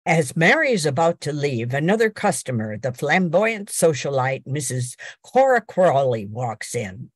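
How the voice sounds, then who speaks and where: A woman's voice: sits low, big pitch swings, a little slow, hardly any pauses, slightly rough. An older woman reading scripted narration as the announcer of a radio drama.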